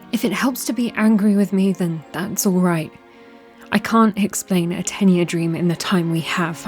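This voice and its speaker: A woman playing a resolute, war-weary young princess in a fantasy audio drama, measured, formal and earnest. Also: on the low side, darkish tone, natural voice. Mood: weary, gentle.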